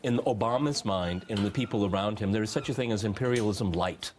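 A man, moderating a political talk show in a brisk, opinionated way. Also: lowish voice, darkish tone, a little hiss or hum. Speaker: host, moderator. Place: TV studio at a round desk.

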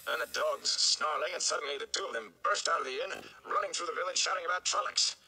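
A man voicing a stout old village mayor, flustered and blustering, with an emphatic, excitable delivery in a storybook reading. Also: on the high side, fast, gravelly, voice pushed up, full character voice. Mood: excited.

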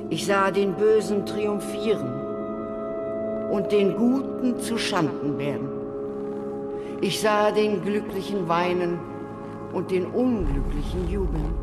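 Langsame, feierliche alte weise Frau. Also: male voice, high voice. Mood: solemn, sorrowful. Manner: slow, solemn, oracular. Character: aged mysterious wise woman, solemn, sorrowful and motherly. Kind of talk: literary radio drama acting.

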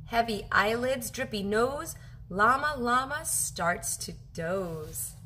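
A woman reads a picture book aloud to young children in an expressive, lively style. Her pitch swoops widely and her tone is bright and forward. It sounds recorded on a phone at home, with faint noise behind her. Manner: animated, drawn-out phrasing with questions to young listeners. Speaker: teacher reading aloud to children. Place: home kitchen, indoors.

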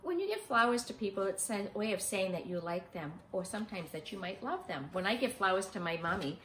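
A woman's voice: medium pitch, fairly quick, slight background noise. A middle-aged woman who teaches preschool, reading a picture book aloud expressively and asking young children simple questions. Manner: expressive, emphatic, child-directed delivery. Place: small living room, indoors on a sofa. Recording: roomy home recording.

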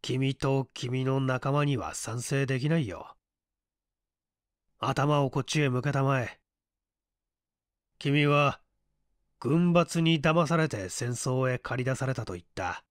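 A man playing a calm tough guy who lectures and commands in a leisurely, cool manner in an audiobook story. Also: mid-range voice, takes it slowly, pauses often, dry recording, clean studio sound, lightly acted. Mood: stern, unhurried. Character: calm, unhurried tough man coolly lecturing his beaten foe.

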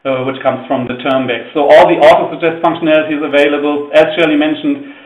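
A man with a German accent, a native German speaker, talking through a software demo in a webinar, explaining as he clicks and often pausing on "uh". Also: on the high side, steady tone, reverberant, muffled recording. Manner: explanatory, many fillers. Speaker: product manager.

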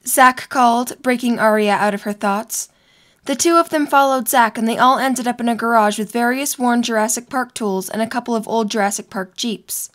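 A woman's voice: a little high, moderate pace, fairly bright tone. A young woman reading scripted story narration for an audio drama.

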